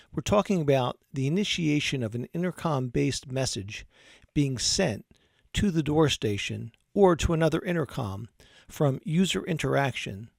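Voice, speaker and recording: Man narrating a tutorial, gravelly, dry sound. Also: mid-range voice, darkish tone, dry recording. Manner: measured, methodical explanatory delivery. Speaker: technical training instructor.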